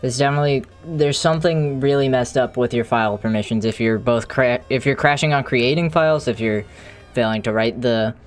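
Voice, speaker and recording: A streamer thinking aloud in a halting, casual way while doing live coding commentary, with an unclear, mid-range voice. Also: medium pitch. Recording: music playing behind the voice. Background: music.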